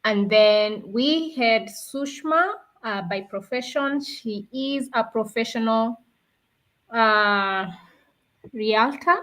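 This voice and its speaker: A woman's voice: fairly high pitch, slow, a bit bright, low-quality recording. A woman from Kenya co-hosting a live online talk and presenting to viewers. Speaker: co-host of an online business talk show.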